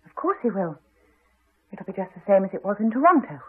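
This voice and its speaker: A composed middle-class mother in a 1980s radio drama. Her husky voice moves widely in pitch, with poised, direct phrasing. It sounds like a narrow old broadcast. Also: sing-song, a little fast. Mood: guarded.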